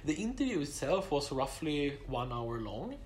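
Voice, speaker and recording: A man speaking English, which is not his first or second language, answering interview questions about his application in a measured way, with many fillers and restarts. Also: a little high, sing-song, a little hiss or hum. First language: not English; English is his third language.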